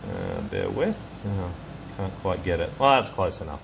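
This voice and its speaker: A man presenting a hands-on electronics demonstration in a casual, halting way, thinking aloud as he works. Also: sits low, wildly swooping pitch, gravelly, background noise. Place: indoor electronics workbench.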